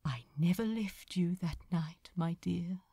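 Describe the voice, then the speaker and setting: Gentle male angel, female performer: soft, fond, hesitant, slow-paced audiobook character. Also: fairly low pitch, breathy edge, no echo, clean studio sound, voice pushed down, full character voice. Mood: tender.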